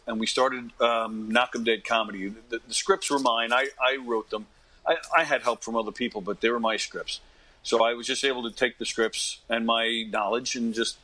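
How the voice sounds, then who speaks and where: An American man in his fifties talking casually as an interview guest, in a rambling, self-effacing, animated way. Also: medium pitch, rough recording. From United States. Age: 50s. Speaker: guest, actor and comedy dinner theater director.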